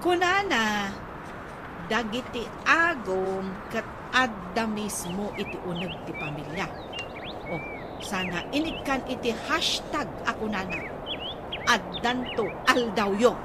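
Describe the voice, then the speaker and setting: A woman's voice: medium pitch, animated, slow. A woman with an Ilocano accent playing an agitated, exclaiming wife in a radio comedy drama, riled over family matters. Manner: exclaiming, indignant. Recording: noisy outdoor ambience with birdsong.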